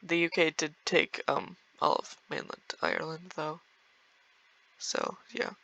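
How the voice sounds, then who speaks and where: A man's voice: fairly high pitch, fairly even intonation, measured pace, creaky, bright, forceful tone, rough recording. A young man giving rambling, casual commentary over a strategy game, full of fillers and small laughs. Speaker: gameplay video creator.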